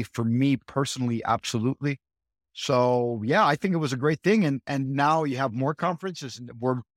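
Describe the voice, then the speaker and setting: A man's voice: mid-range voice, no echo. Middle-aged guy from guatemala w/ an american accent, earnest, keeps restarting, dry close sound. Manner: earnest, repeats words as he restarts sentences. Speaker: guest, startup city co-founder. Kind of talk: podcast interview.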